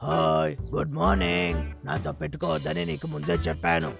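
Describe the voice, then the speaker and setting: A bold cartoon bear, the protective big brother. His voice is heavily creaky and a little high, with a taunting edge, over clear background music. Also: very animated, fairly quick, exaggerated voice. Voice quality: gravelly. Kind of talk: animated cartoon dub acting.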